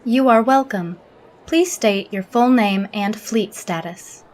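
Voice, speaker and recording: A woman playing a literal, humorless alien Starfleet officer in an audio drama, speaking formally and exactly with a deadpan manner. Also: medium pitch, slow pace, close to an everyday voice. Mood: cool, probing. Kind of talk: scripted audio drama log and interview.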